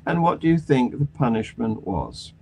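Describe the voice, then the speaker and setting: A man hosting a news discussion on a video call, with a halting delivery. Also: medium pitch, big pitch swings, a little mellow, slight background noise. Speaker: panel show host. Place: indoor home room on a video call.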